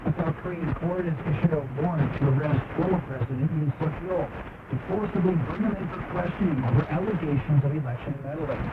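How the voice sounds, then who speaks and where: A man's voice: a little high, distant mic. A man reading scripted news as a radio newsreader, heard over shortwave radio. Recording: narrowband shortwave radio with heavy static.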